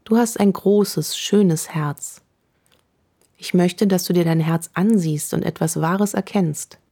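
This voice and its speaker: A woman guiding a meditation in a slow, calm, soothing voice, speaking affirmations to the listener. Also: lowish voice, gravelly. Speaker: meditation guide. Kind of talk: guided meditation.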